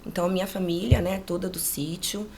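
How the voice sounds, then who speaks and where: A woman's voice: on the low side, steady tone, quick pace, darkish tone. Interview guest, Brazilian woman in her late forties, frying voice, conversational storyteller, faint noise behind. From rural Brazil. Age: late 40s. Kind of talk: casual personal storytelling.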